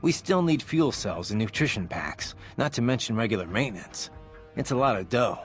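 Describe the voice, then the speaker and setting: An actor playing a brooding, cynical cyborg mercenary in a video game, gruff and terse. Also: male voice, mid-range voice, expressive, moderate pace, lightly acted. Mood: wry, reflective. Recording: music behind.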